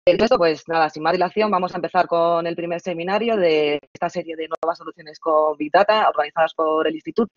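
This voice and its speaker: A woman's voice: on the low side, steady tone, fast talker, few pauses, no room sound. A woman opens an online seminar and introduces the guest, hesitating between phrases. The sound is dry and dull, as on a video call. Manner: hesitant, with fillers. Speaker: seminar moderator. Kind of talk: formal introduction.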